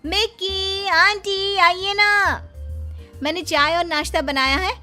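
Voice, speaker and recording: A helpful, outspoken younger sister in a cartoon, played by a woman narrator. She speaks in a very high, sweet voice, earnest and direct, over soft background music. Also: steady tone, takes it slowly, heavily acted.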